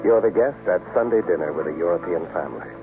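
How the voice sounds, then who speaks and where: A man's voice: sits low, lively intonation, fast talker. A man narrating a scripted radio advertisement as its announcer. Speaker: commercial announcer. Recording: dull 1970s radio broadcast. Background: loud music.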